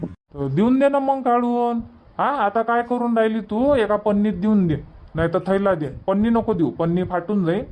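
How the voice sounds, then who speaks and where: A man's voice: high-pitched, normal speed, a little mellow, a little hiss or hum, natural voice. A man voicing an impatient, bossy village family man in a cartoon comedy, rambling in colloquial Vidarbha Marathi.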